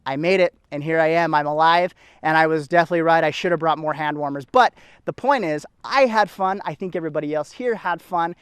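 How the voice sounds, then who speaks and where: A man's voice: fairly high pitch, fairly quick, a bit bright, clean tone, no echo, clean studio sound. A man with an American accent giving scripted television news reports.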